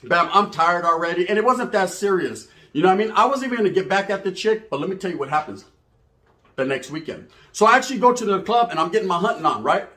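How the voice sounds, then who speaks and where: An American man with a notably high-pitched voice tells a risqué comic story. He talks casually and with plenty of slang, acting out conversations as he goes and regularly checking that the listener follows. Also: fast talker. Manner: slangy, animated, acts out dialogue, checks in with "right?". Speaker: storyteller, channel host. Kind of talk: casual bawdy comedic storytelling.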